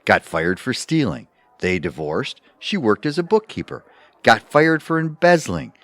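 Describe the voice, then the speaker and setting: A man's voice: medium pitch, big pitch swings, a little slow, fairly bright tone, dry recording. A man playing a blunt, wry, gossipy government clerk in an audio comedy, matter-of-fact in manner. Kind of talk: audio theatre comedy acting.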